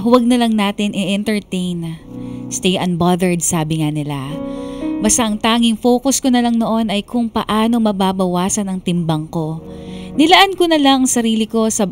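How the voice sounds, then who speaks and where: An actress plays a young woman narrating her own story for a radio drama. She is reflective and confiding, at a middle pitch, with gentle music behind her. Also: lightly acted.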